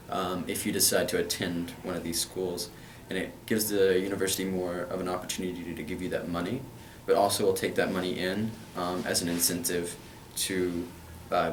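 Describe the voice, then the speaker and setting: A man's voice: sits low, roomy sound, far from the mic, a little hiss or hum. A young man from Kentucky answering interview questions about his school in an earnest way, with plenty of hesitant fillers. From Kentucky, USA.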